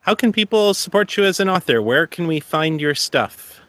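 A man's voice: on the high side, animated, moderate pace, fairly bright tone, no room sound. Dry-recorded man hosting a podcast. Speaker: podcast host. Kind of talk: podcast interviewing and scripted host narration.

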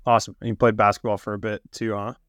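A man's voice: fairly low pitch, level tone, rapid-fire, fairly bright tone, no room sound, clean studio sound. A man hosting a podcast, chatty and enthusiastic with plenty of fillers, talking while a little sick and sniffling. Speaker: podcast host. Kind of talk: casual podcast intro and interview. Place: office.

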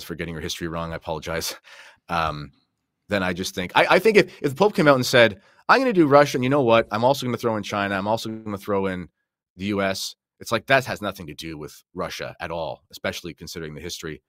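A man's voice: sits low, quick pace, fairly bright tone, no echo, clean studio sound. A man talking as a podcast guest in a conversational religious discussion, making careful, qualified counterarguments.

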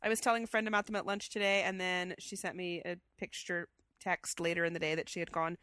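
A woman's voice: fairly low pitch, flat delivery, quick pace, a bit bright, dry recording. A woman co-hosting a casual chat show, with a stuffy head cold and a chatty, enthusiastic way of talking. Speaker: podcast co-host.

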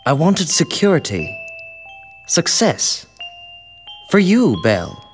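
A man's voice: on the high side, wildly swooping pitch, slow, heavily acted. A man acting in a radio drama as an ambitious young fiancé, earnest and pleading. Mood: defensive. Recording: long reverb, faint music behind.

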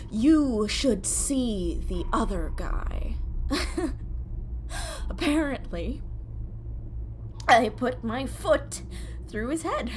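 An actress playing a frightened, injured woman explorer trapped underground in a sci-fi horror audio drama, speaking in halting, stammering fragments. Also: high voice, a little hiss or hum, heavily acted. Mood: dazed, nervous. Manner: halting, stammering, breathy panicked rambling. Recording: loud dramatic music bed behind the voice.

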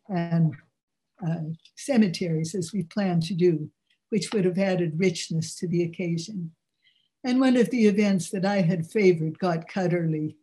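An older woman with a low, mellow voice, giving a measured, earnest religious talk. Her tone is soft and warm, never sharp. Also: poor audio.

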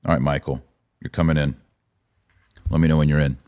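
Deep-voiced man hosting a live podcast. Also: steady tone, a little fast, darkish tone, dry recording. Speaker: podcast host. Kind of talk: live commentary, casual talk with listeners.

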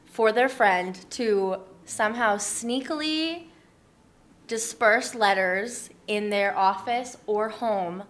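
A woman's voice: medium pitch, slow. A woman with a Canadian accent chatting casually as an interview guest, telling stories in an informal, rambling and giggly way. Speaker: interview guest, photographer running a letter-writing project. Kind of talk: casual chat.

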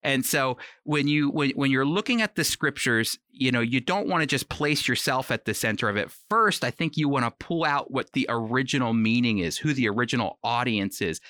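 A man's voice: mid-range voice, animated, moderate pace, few pauses, bright, forceful tone, no echo, clean studio sound. A man giving conversational, scripted Bible teaching in an earnest, explanatory manner. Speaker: Bible teacher on a radio program.

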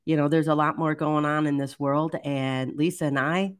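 An older woman with a low voice, casually co-hosting a live chat show, chatty and laughing easily. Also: steady tone, normal speed, smooth. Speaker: livestream co-host.

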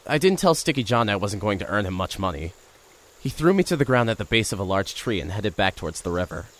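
A man's voice: on the low side, big pitch swings, fast talker. A man narrating an audio drama in the first person and playing a nervous reporter, with an earnest, wry delivery.

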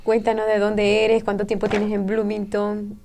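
A woman's voice: medium pitch, completely flat delivery, normal speed, darkish tone, a little hiss or hum. Laughing woman radio host. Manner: laughs often, friendly questioning. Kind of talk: community radio chat. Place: radio studio.

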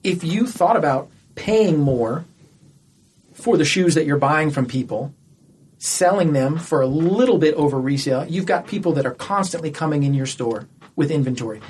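A man's voice: fairly high pitch, lively intonation, moderate pace, a little mellow, breathy edge. A man in a casual podcast discussion, making his case with rhetorical questions and little acted-out quotes. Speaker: podcast guest or co-host.

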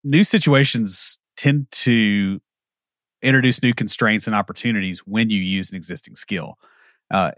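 Male podcast co-host, lower pitch, thoughtful explainer, dry close mic. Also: a bit bright, no room sound. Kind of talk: casual conversation.

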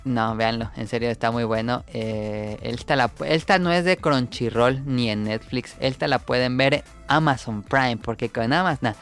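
A man with a central Mexican accent, co-hosting a casual podcast chat and recommending shows in a relaxed way. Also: medium pitch, normal speed, hardly any pauses, soft background music. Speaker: podcast co-host.

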